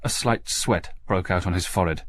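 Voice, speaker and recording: A man's voice: low-pitched, fairly quick, a little hiss or hum. A man reading a scripted story aloud as a narrator in a dramatic audiobook style.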